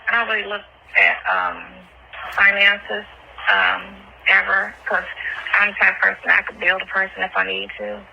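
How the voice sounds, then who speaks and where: A woman's voice: medium pitch, expressive, moderate pace, creaky, pushed and bright, slight background noise. A woman chatting casually and bluntly in slangy, candid talk on a recorded phone call. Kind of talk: casual intimate phone chat.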